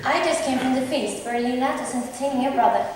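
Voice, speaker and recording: An obedient stage henchman played by a woman with a high voice and matter-of-fact delivery. The voice is distant and washed in hall reverb. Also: fast, close to an everyday voice. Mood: informative. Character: obedient henchman bringing secret reports. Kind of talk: amateur stage acting of modernized Shakespeare. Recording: distant, very echoey hall, faint noise.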